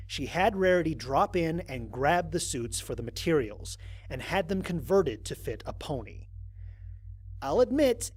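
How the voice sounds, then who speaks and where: A man's voice: fairly high pitch, slightly rough. A man playing a lone astronaut who narrates his mission logs in a wry, exasperated, conversational way, as in an audio drama. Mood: exasperated, amused. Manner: conversational, expressive, sardonic. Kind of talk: dramatized first-person log narration.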